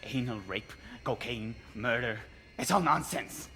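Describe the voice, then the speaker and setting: A woman's voice: very deep voice, measured pace, fairly bright tone, faint music behind. A woman voicing an arrogant, philosophical cartel captive in a game cutscene, condescending and theatrical in delivery. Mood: scornful, defiant. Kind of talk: dramatic video game cutscene acting.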